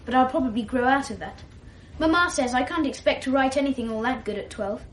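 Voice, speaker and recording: A woman's voice: high-pitched, brisk, a little hiss or hum, lightly acted. A woman playing a clever, self-assured twelve-year-old English schoolboy who talks in a precocious, wordy, self-deprecating way, in a radio drama. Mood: reflective. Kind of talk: 1980s radio drama acting.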